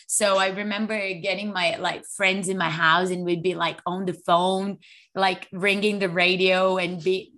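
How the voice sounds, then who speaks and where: A woman co-hosting a fitness podcast in casual chat, sounding a little fluey and telling stories in an animated way. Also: on the low side, measured pace. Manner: chatty, animated storytelling. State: a little hoarse with flu. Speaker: podcast co-host; online fitness coach.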